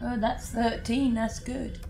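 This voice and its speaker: A woman's voice: fairly high pitch, level tone, takes it slowly, creaky, mellow, soft background music. A woman playing a tabletop role-playing game, bantering casually with the group. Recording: noisy background ambience.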